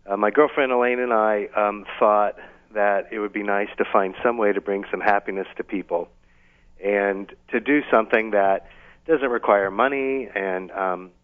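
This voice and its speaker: A man's voice: lowish voice, fairly even intonation, normal speed. Man speaking over a thin phone line. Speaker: interview guest, community event organiser. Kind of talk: casual radio interview.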